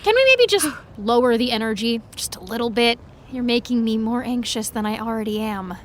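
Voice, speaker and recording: An actress as an anxious teenage girl with a fairly high voice, glum and self-dramatising. Her complaints come out sarcastic and rambling in naturalistic audio drama style, over faint ambient vehicle noise. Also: expressive, brisk, lightly acted. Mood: anxious, miserable. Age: young adult. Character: anxious, self-dramatising, miserable eighteen-year-old girl fan.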